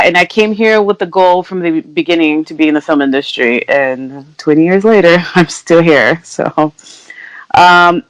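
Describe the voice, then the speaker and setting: A woman in her thirties, originally from Brazil, talks as a podcast guest in a loose, chatty way with plenty of "um"s and self-deprecating asides. Her voice is smooth and resonant. The sound is close and dry. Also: low-pitched, no echo, low-quality recording. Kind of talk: casual conversational interview.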